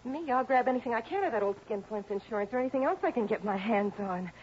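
Woman playing a brassy, high-pitched tough dame. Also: animated, fast, close to an everyday voice. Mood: grasping, scornful. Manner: slangy, sharp-tongued, combative. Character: brassy, defensive, hard-bitten working-class female niece. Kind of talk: 1960s radio drama acting. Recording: noisy, muffled old radio recording.

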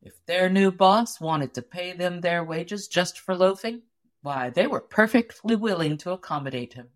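A woman reading a story aloud as an audiobook narrator, in an expressive, measured storytelling manner. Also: on the low side.

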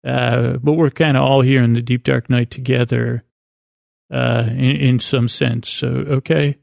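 A man hosting a bedtime podcast in a tired, creaky voice, meandering gently through a hesitant, self-deprecating monologue meant to soothe. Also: mid-range voice, level tone, a little slow, no room sound, studio quality. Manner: gentle, soothing, aimed at putting listeners to sleep.

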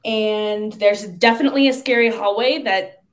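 A woman's voice: medium pitch, moderate pace. A woman in a casual podcast chat, explaining things in a slightly hesitant way. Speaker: podcast guest. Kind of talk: casual chat about video games.

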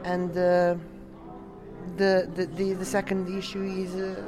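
A woman from Serbia with a Serbian accent, answering interview questions in halting, non-native English as a delegate. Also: on the low side, monotone, over music. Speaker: coeliac association delegate being interviewed.

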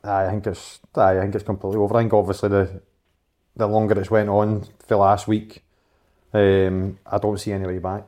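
Guy, lowish voice, straight-talking, mulls things over. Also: little pitch movement, fairly quick, warm tone. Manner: frank, reflective, swears casually. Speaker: footballer, guest or co-host. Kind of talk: casual chat.

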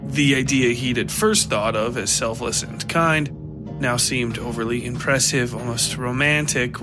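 A man reading dark fiction as a scripted narration. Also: medium pitch.

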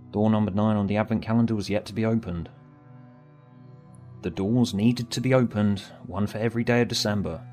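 Low-voiced man narrating a story over music. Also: darkish tone. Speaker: author and narrator.

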